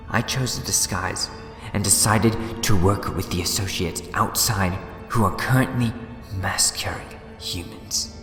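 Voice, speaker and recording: An actor playing a gleefully smug, scheming time-travelling villain in a fan audio drama, theatrical, wheedling and gloating. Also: male voice, low-pitched. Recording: echoey long reverb, music behind.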